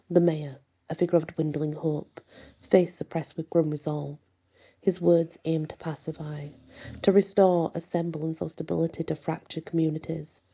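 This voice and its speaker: A woman reading a horror story in ASMR style, with a slow, dramatic delivery that drops toward whispers. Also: sits low, vocal fry, warm tone, husky. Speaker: story narrator.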